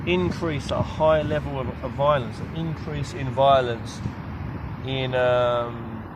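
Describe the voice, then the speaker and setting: A man's voice: mid-range voice, steady tone. Rambling man with outdoor traffic noise. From London, England. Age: 30s. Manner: halting, lots of fillers and restarts. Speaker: vlogger. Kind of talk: rambling monologue vlog.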